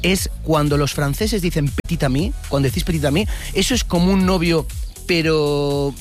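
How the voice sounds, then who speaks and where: A man's voice: a little high, normal speed, soft background music. A man interviewing people on the street as a reporter, chatty and teasing, with lots of exclamations and follow-up questions. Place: street, outdoors. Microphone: handheld microphone.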